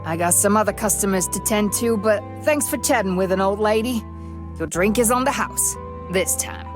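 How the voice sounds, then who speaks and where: A woman's voice: mid-range voice, lively intonation, normal speed, close to an everyday voice. An actress playing a tough, world-weary, motherly older bartender in a visual novel, folksy and no-nonsense. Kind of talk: visual novel character acting. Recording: music behind the voice.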